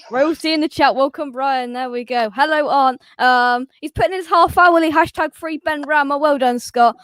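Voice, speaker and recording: A man's voice: very high-pitched, normal speed, a bit bright. A man hosting a casual live stream, reading out the chat with plenty of hesitant ums. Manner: hesitant, lots of ums, laughs along.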